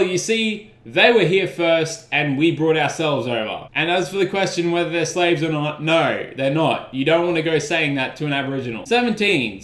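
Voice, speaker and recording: A man's voice: on the high side, a bit bright. A young man with an Australian accent answering questions in a sarcastic, exasperated comic vlog. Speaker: YouTuber. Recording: echoey room.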